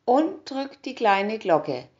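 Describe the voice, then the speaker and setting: Woman, picture-booklet narrator, swooping pitch, short captions, dull lo-fi sound. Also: very animated, takes it slowly, a little mellow. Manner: announces short captions one by one. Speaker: reader. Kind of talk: slow scripted reading of a children's picture booklet.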